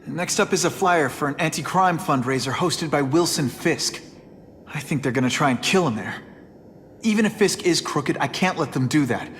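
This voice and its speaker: An actor playing a wisecracking, earnest young superhero in a video game, talking in a casual, quippy way. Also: male voice, a little high, animated, normal speed, fairly bright tone, slight background noise. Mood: earnest, concerned. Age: young adult. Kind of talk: video game cutscene acting. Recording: music behind the voice.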